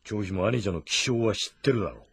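Casting: stern, deliberate warrior general for a historical game drama; low, clean and lively in inflection. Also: male voice, gravelly, slightly rough, full character voice. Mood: measured, sober. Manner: deliberate, stern, martial, old-fashioned diction.

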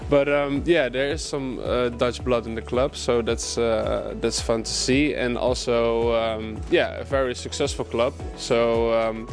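A man's voice: mid-range voice, fairly even intonation, takes it slowly, creaky, hardly any pauses, faint music behind. A young man giving a casual interview in hesitant, broken phrases. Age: young adult. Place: indoor locker room.

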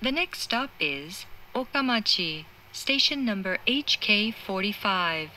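A woman delivers formal recorded station announcements. She is very slow and deliberate, with a bright, forward tone. There is light train noise around her. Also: medium pitch, expressive, low-quality recording. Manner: formal, deliberate, clearly enunciated. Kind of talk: scripted automated station announcement. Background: moving train interior noise.

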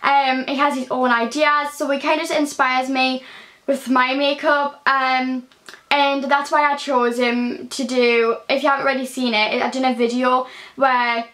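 A teenage girl from the North East of England speaks in a broad Geordie accent. Her voice sits high, and she rambles cheerfully, filling her answers with "um" and "like". She is recorded up close on a phone in a small bedroom. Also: level tone, measured pace, a bit bright. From Newcastle, England. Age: early teens. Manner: chatty, many ums and likes, gestures while talking. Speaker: beauty vlogger. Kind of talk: casual Q&A vlog. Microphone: phone camera at close range.

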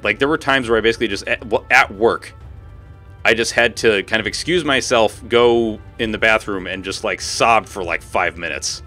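Deep-voiced man chatting on a game stream. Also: normal speed, sharp tone. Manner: informal, slangy, frequent swearing. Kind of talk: casual livestream chat while gaming. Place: home room. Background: music.

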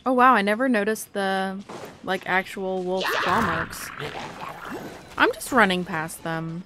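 Chatty young woman, medium pitch, gaming commentary. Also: animated, a little slow, noisy background. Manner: chatty, rambling. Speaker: gaming YouTuber. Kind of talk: casual let's-play commentary.

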